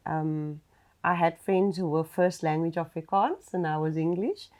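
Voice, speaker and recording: A woman with a Cape Flats South African accent, a self-employed guest, speaking in a measured way with frequent ums. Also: low-pitched. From Mitchells Plain, Cape Flats, South Africa. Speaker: guest, entrepreneur.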